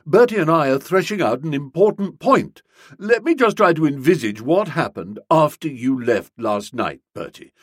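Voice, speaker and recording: A man voicing a flustered, pompous young English gentleman writer in an audiobook, wordy and self-justifying, with affectionate upper-class slang. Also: fairly high pitch, lively intonation, normal speed, no room sound, clean studio sound, voice pushed up. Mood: flustered, placating.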